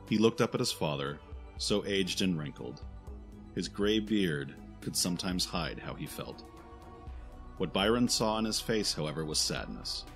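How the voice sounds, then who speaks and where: A man narrating a scripted fantasy audio drama in a solemn, deliberate way. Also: sits low, gravelly, fairly bright tone. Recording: faint music behind the voice.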